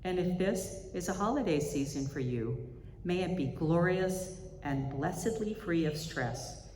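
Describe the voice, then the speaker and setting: A woman with a low voice speaks as if leading a reflection at a chapel service. She reads in a measured, deliberate and contemplative manner. The room is echoey, with faint noise behind her. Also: expressive, darkish tone. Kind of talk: reading aloud from spiritual writings to a congregation. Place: large indoor chapel or hall. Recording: echoey hall with reverb, faint background noise.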